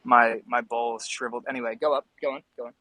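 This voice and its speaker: A man's voice: mid-range voice, little pitch movement, quick pace, bright, forceful tone, dry recording, poor audio. A man in a casual discussion, explaining his thoughts in a reflective way. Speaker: panelist in an online music discussion. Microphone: phone.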